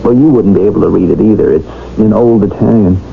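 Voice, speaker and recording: An actor playing an anxious, evasive American doctor in a radio drama, halting and defensive in his delivery. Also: on the low side, vocal fry. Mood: troubled, fearful. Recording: muffled, noisy old radio broadcast.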